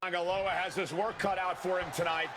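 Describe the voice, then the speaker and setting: A man playing an excitable play-by-play announcer calling wrestling in a video game, with a noisy arena behind him. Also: high-pitched, brisk, natural voice. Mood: dramatic. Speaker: play-by-play commentator. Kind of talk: wrestling broadcast commentary in a game.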